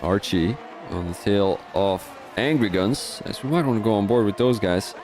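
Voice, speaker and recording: A man's voice: lowish voice, moderate pace, music in the background. A young Dutch man with a Dutch accent, commentating a sim racing league in a chatty, rambling way with many restarts. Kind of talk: live race commentary mixed with casual chat.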